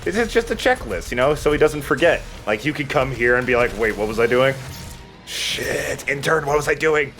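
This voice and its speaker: A man's voice: mid-range voice, animated, normal speed, a bit bright. A man giving casual, matter-of-fact Let's Play commentary, explaining the game in a laid-back, sweary way. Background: game music.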